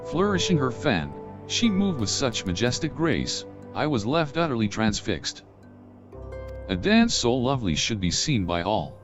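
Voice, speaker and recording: Courtly video game gentleman, wistful, formal, animated intonation, slightly dull audio, music behind. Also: medium pitch, a little slow. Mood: fond reminiscence. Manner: formal, flowery, storytelling delivery. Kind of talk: dramatic scripted video game dialogue.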